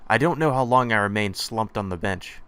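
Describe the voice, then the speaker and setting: A man's voice: fairly low pitch, animated, brisk, fairly bright tone, clean tone. A man reading a visual novel aloud in first person as the hero, with sarcastic asides of his own. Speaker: let's play narrator.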